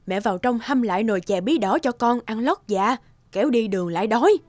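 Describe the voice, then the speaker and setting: A woman playing a doting, fussing old peasant mother in an animated fairy tale. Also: a little high, brisk, natural voice. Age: older. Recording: loud music behind the voice.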